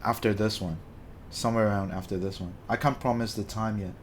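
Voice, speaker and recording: A man's voice: lowish voice, steady tone, brisk, slight background noise. Chatty Malaysian-born man streaming casually. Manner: rambling, self-correcting, chatty. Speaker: streamer answering chat. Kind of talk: casual live-stream chat.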